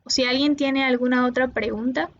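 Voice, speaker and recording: A Mexican woman tutoring linguistics online, explaining patiently and pausing often with "eh". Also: high voice, steady tone, muffled recording. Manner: patient, explanatory, with many "eh" pauses.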